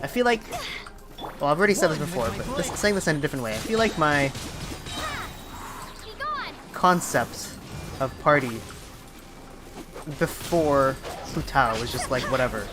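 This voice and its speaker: A live streamer with a mid-pitched voice talks casually over clear game music. The delivery is halting, like someone thinking aloud while playing. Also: lively intonation. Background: video game music.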